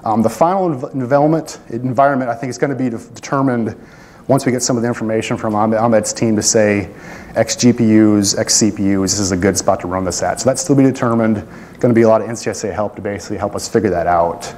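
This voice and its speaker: Low-voiced man giving a casual tech talk. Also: fast, darkish tone, a little hiss or hum. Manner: casual, self-deprecating, many fillers and restarts. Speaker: conference presenter, IT staff. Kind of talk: technical conference talk with Q&A. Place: conference room.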